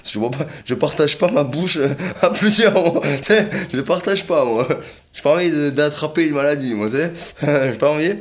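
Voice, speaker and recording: A man's voice: on the high side, expressive, normal speed. A man rambling in a casual monologue, repeating himself often, with many fillers and little chuckles.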